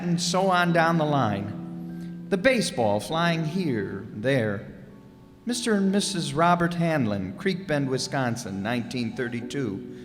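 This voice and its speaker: Male radio drama narrator, lively intonation, scripted and dramatic, reverberant hall with music underneath. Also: a little high. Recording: echoey hall, music behind.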